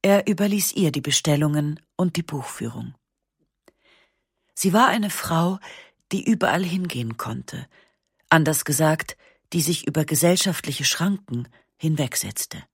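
A woman reading a first-person literary memoir for radio, calm and measured, with slow, matter-of-fact pauses. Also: low-pitched, breathy edge, no room sound, studio quality. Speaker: narrator.

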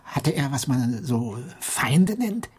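An actor playing an awkward, agitated, suspicious insurance clerk in a radio drama, halting and fussily formal in his speech. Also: medium pitch, big pitch swings, slightly rough, heavily acted. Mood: embarrassed, hesitant. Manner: hesitant, stammering, fussily formal.

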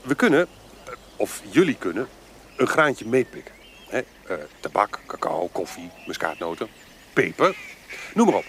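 An actor playing a wheedling, self-important rogue trader in a period audio drama, chatty and breezy. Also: male voice, on the low side, sing-song, normal speed, pauses often, fairly bright tone, a little hiss or hum. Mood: scheming.

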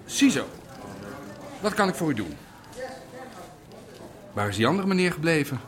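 A man's voice: a little high, sing-song, normal speed, a bit bright. A man playing a busy, businesslike police desk clerk in a radio drama.

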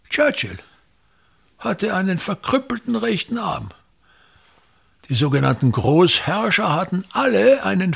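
An embittered old actor-manager in a radio drama. His voice is fairly high for a man, slow and full of gravel. He declaims with pompous, grandiose emphasis, hammering on his repetitions. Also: heavily acted. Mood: pompous, aggrieved. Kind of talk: theatrical radio play monologue.

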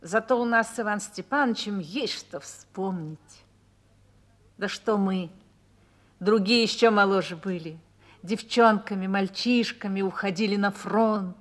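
Actress as older woman, army wife, radio drama, mid-pitched, soothing, patient, motherly. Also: a little slow, close to an everyday voice. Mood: warm, reminiscing. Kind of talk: Russian radio drama acting.

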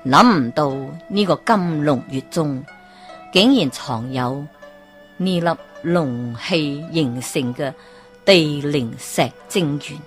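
Woman voicing a stern old male geomancer. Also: low voice.

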